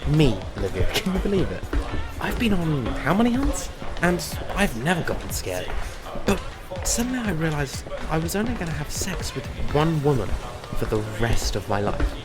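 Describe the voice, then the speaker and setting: A man's voice: on the high side, huge pitch swings, normal speed, music in the background. A man acting a brash, flippant monster hunter, defensive and teasing, in an audio drama.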